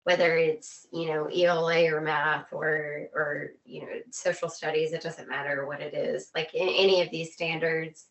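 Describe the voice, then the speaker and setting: A woman's voice: low voice, little pitch movement, normal speed, gravelly, hardly any pauses, poor audio. A woman chatting casually as an interview guest, giving opinions emphatically and repeating phrases for stress. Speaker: interview guest, former teacher.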